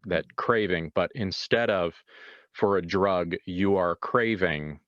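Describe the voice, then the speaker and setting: Low-voiced man narrating haltingly, slightly muffled. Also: fairly bright tone, no room sound. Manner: halting, repeats and reworks phrases as he improvises. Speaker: game master. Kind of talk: improvised tabletop horror narration, conversational. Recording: muffled lo-fi sound, music behind. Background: background music.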